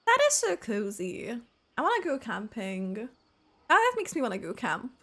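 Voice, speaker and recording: A woman giving an expressive, casual live reaction while playing a video game. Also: a little high, normal speed. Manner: expressive, echoes game dialogue. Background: game music.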